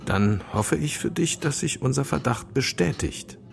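Gravelly older police commissioner. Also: male voice, mid-range voice, animated, measured pace. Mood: probing. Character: shrewd older police commissioner, father of a grown son. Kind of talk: radio play dialogue.

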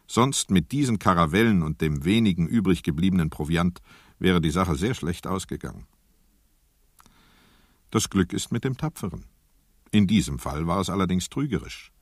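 A man narrating a radio drama as a storyteller, in a measured, scripted literary style with an ironic edge. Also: deep voice, lively intonation, darkish tone, dry recording, studio quality. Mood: measured, wry. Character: sober, wry storyteller narrating a seafarer's fate.

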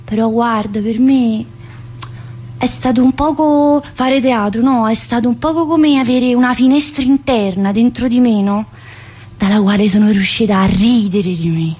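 Stage monologue: actress as chatty woman inmate, rather high voice, southern Italian accent, colloquial, teasing yet wounded. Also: moderate pace, warm tone, slightly rough. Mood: reflective, bittersweet. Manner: rambling, colloquial, full of tag questions. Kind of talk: theatrical monologue in colloquial dialect-tinged speech.

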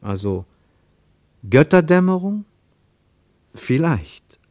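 A man narrating a literary radio drama as a reflective observer, deliberate and given to rhetorical questions. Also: fairly high pitch, sing-song, a little mellow, dry recording, studio quality. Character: male narrator of a literary travel report, probing and reflective.

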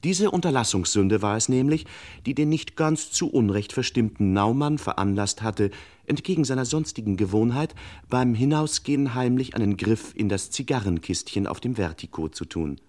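An actor playing a pompous, mock-solemn narrator of a crime parody, with ornate, deadpan delivery in a radio play. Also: male voice, medium pitch, normal speed, natural voice. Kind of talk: ironic scripted radio serial narration.

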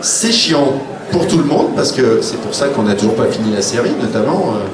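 A man's voice: mid-range voice, lively intonation, normal speed. Blunt, wry man in a noisy, roomy recording. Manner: blunt, wry, acting out quoted remarks. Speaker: author and panel guest. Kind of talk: informal anecdotal panel talk. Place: public round table in a room. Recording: roomy, distant live recording with clear noise.

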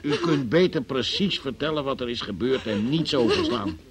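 An actor playing a formal, sceptical examining magistrate who questions insistently in a Dutch radio play. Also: male voice, medium pitch, lively intonation, moderate pace, lightly acted. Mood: probing, formal. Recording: noisy old radio play recording.

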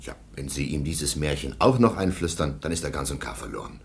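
A proud nobleman and worried guardian in a radio play. His voice is very deep and highly animated in pitch. He speaks in stern, formal phrases with indignant courtesy. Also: fairly quick, vocal fry, heavily acted. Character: stern, proud Nuremberg baron and anxious guardian. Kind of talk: German radio drama acting.

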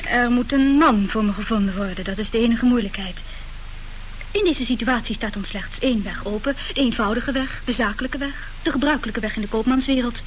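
Radio drama: young heiress played by a woman, highish voice, decisive, articulate, unsentimental and businesslike. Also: brisk, lightly acted, low-quality recording. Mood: resolute. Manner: decisive, matter-of-fact, articulate. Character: coolly businesslike young daughter of a rich merchant. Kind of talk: Dutch radio play acting. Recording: old radio recording, faint hiss.

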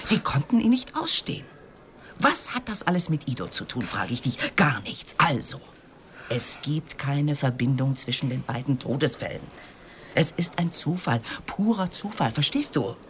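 An actor in a radio drama playing an exasperated colleague who argues emphatically and insistently, often breathy. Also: medium pitch, lively intonation, normal speed, fairly bright tone, a little hiss or hum, lightly acted.

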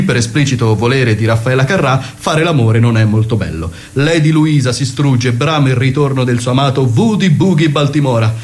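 A man's voice: mid-range voice, a little hiss or hum. A man narrating a scripted comic radio play in a mock-solemn storytelling manner. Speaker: narrator and performer.

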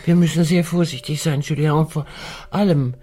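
A woman playing an anxious, dignified widow who leads an expedition in a German radio play, speaking in a hesitant, formal, deliberate way with a husky voice. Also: low voice, fairly even intonation, dark tone. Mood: cautious, earnest. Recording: music under the voice.

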